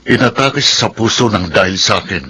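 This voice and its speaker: A middle-aged Filipino man, conscience-stricken and defensive. The voice is low and forceful, with earnest radio drama delivery. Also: brisk. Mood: guilty, troubled.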